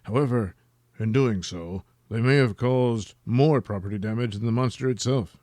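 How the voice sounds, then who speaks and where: A man voicing a stiff, solemn TV news anchor in a formal, deadpan manner for a comic audio drama. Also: mid-range voice, normal speed, no echo, studio quality, pitched below the performer's own voice, lightly acted.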